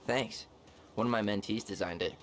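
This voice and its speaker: A man's voice: fairly low pitch, moderate pace, natural voice. A man acting an earnest, wry young American man in naturalistic, understated video game dialogue. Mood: wry, warm. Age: young adult. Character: earnest, dryly joking young man, passionate about wildlife. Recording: game stream with clear background noise.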